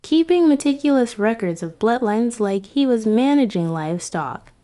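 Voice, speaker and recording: A woman giving scripted history narration in a grave, measured storytelling manner. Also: fairly high pitch, expressive, mellow.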